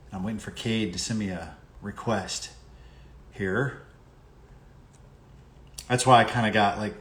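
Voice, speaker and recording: A man's voice: on the low side, a bit bright. A middle-aged man hosting a casual live interview over a video call, talking in an informal, chummy way. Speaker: interview show host.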